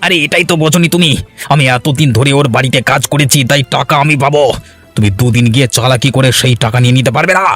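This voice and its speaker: Man doing a fast-talking scheming servant. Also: mid-range voice, fairly bright tone, faint music behind, close to an everyday voice. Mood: menacing, mocking. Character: scheming greedy servant man, fawning and bullying. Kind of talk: cartoon voice acting.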